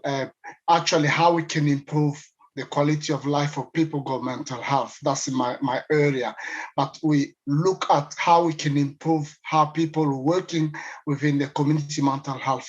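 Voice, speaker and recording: Guy from congo chairing a video call panel, lots of uhs, kinda high voice, muffled lo-fi audio. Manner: hesitant, many fillers and restarts. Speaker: panel chair, mental health researcher. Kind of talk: online panel introduction.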